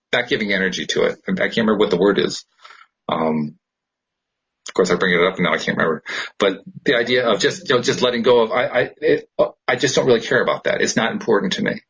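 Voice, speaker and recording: A man's voice: deep voice, fairly bright tone, husky, low-quality recording. A man co-hosting a podcast in a casual, reflective way, often rambling and searching for words. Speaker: podcast co-host. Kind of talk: casual spiritual chat.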